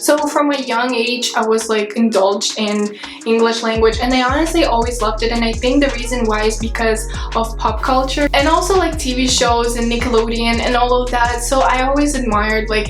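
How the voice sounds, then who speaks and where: A woman's voice: a little high, moderate pace, roomy sound, soft background music. A young woman from Kazakhstan whose first language is Russian, talking casually to camera in her bedroom with lots of fillers. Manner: chatty, frequent fillers. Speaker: vlogger. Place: indoor bedroom with vanity mirror.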